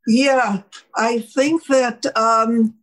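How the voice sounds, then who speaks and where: A woman hosting a casual talk show, speaking in a halting, deliberate way and repeating words for weight. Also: a little high, steady tone, low-quality recording. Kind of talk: casual chat.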